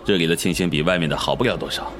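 A man's voice: sits low, racing, lightly acted. A man playing a weary, apologetic prison warden in a zombie audio drama. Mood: resigned. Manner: resigned, apologetic. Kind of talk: Chinese fan audio drama acting.

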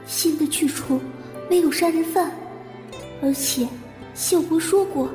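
Audio drama heroine, female actor: timid twenty-five-year-old, high and mellow, introspective narration, echoey space. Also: measured pace, soft background music. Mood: wistful. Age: young adult. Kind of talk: Mandarin audio drama acting with first-person narration.

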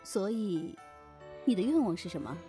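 A breezy, shrewd keeper of a demon inn acted by a woman in an audio drama. The voice is soft and mellow yet very lively in pitch, offhand and bossy, with faint music behind it. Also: normal speed, close to an everyday voice. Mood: breezy, businesslike.

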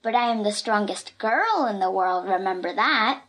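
A woman's voice: medium pitch, lively intonation, normal speed, a bit bright, lightly acted. A woman voicing a plucky, cheeky little girl from a storybook, innocently confident and matter-of-fact.

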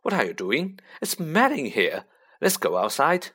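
A man's voice: on the high side, wildly swooping pitch, unhurried, a bit bright, breathy edge, full character voice. Figure: a man doing a middle-aged father, harried. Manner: flustered, then cold. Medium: audiobook.

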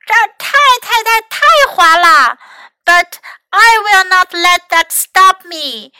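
A woman voicing a brash, excitable young male skier in a storybook, calling out in loud, drawn-out exclamations. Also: extremely high pitch, no room sound, pitched above the performer's own voice, exaggerated voice, rough recording. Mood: determined.

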